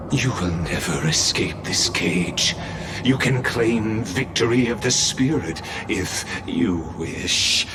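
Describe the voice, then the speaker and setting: An actor playing a smug, patient game villain who taunts coolly in a theatrical, drawn-out, deliberate way. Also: male voice, fairly low pitch, noisy background. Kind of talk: theatrical game cutscene acting.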